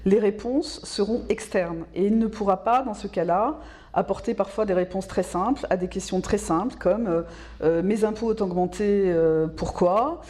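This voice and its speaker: Woman doing an expert interview, warm mellow voice, mid pitch, explains things carefully and kinda teachy. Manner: measured, didactic, emphatic repetition, checks understanding with tags. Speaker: local government specialist being interviewed.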